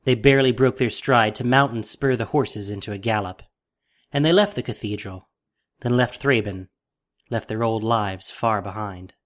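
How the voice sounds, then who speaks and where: A man's voice: on the low side, normal speed. A man narrating a scripted fantasy story in a dramatic, solemn way.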